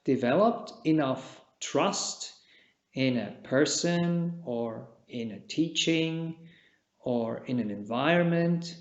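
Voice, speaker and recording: A man from Switzerland, a native Czech speaker, giving a gentle, halting dharma talk as a Buddhist meditation teacher, with long pauses. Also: fairly high pitch. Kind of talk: unscripted dharma talk at a retreat. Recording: echoey room, dull lo-fi sound.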